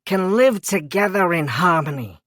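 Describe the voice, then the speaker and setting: A woman's voice: on the low side, animated, moderate pace, dry recording, studio quality. A woman voicing a stern, commanding male frog king in a children's storybook, with emphatic pronouncements. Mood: solemn.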